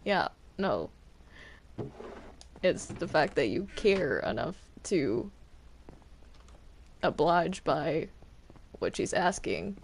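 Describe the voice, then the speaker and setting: A person chatting casually while roleplaying in a video game, with a halting delivery that often trails off. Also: medium pitch, big pitch swings, gravelly, a little hiss or hum. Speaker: Minecraft roleplay player.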